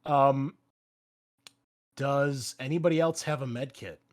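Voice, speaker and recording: A man with a mid-pitched voice runs a tabletop roleplaying game. He moves casually between explaining rules and narrating scenes, and is comfortable swearing as he goes. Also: slow pace. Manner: casual, profane. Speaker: game master.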